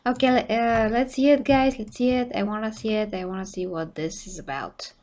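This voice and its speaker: A woman's voice: a little high, a little fast, darkish tone, slight background noise. Chatty woman with a light foreign accent. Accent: Eastern European. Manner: chatty, laughs often, rambles and self-corrects. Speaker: streamer reacting to a trailer. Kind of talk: live stream reaction chat.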